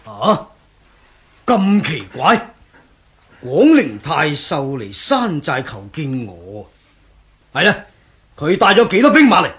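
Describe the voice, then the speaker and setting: An actor playing a gruff, wary mountain bandit chief in a Cantonese radio drama, blunt, with a Hong Kong accent. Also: male voice, fairly high pitch, wildly swooping pitch, slow, long pauses, a bit bright, heavily acted. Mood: puzzled, wary.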